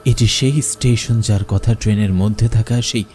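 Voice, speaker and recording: A man's voice: on the low side, fairly even intonation, moderate pace, darkish tone, soft background music. A man narrating a scripted horror story in the first person, in a dramatic, suspenseful manner. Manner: suspenseful, theatrical.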